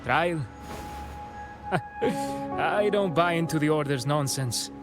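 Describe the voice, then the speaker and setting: A man's voice: a little high, slow pace. A man voicing an embittered, defiant ruined merchant in a video game, aggrieved and emphatic. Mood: bitter, defiant. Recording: background music under the voice.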